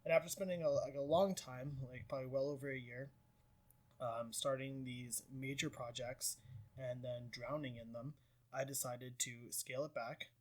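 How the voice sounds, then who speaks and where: Rambling Canadian game developer. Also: male voice, mid-range voice, steady tone, moderate pace. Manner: rambling, self-deprecating, frequent fillers. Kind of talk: casual devlog walkthrough.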